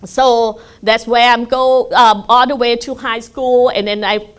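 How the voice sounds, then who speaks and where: A middle-aged woman from northeastern Thailand with a Thai accent, giving an informal presentation to an audience in a halting way, with many fillers. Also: fairly high pitch, fairly even intonation. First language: Thai (Isan dialect). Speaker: guest speaker. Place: community center event room.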